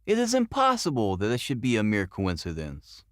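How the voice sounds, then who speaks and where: A man voicing a brilliant, intense Victorian detective in an audiobook, with a precise, commanding and excitable manner. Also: lowish voice, normal speed, no echo, studio quality, pitched below the performer's own voice. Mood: agitated.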